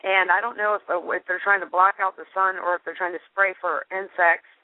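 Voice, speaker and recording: A woman's voice: on the low side, fairly even intonation, fairly quick, dry recording. A woman calling in a complaint by phone, insistent and questioning, often repeating herself. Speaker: member of the public calling in a complaint. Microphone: telephone. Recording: narrow-band telephone line.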